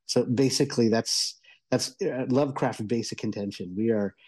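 A male radio columnist talks through a review in a conversational style. His voice is mellow and dark, with a husky grain, and he explains keenly in halting stretches. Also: medium pitch. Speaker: audio entertainment columnist and reviewer.

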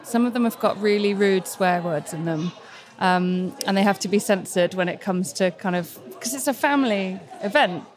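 A woman's voice: mid-range voice, moderate pace, hardly any pauses, a little hiss or hum. A woman who plays roller derby, talking about it in an enthusiastic, talkative way. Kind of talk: casual interview chat.

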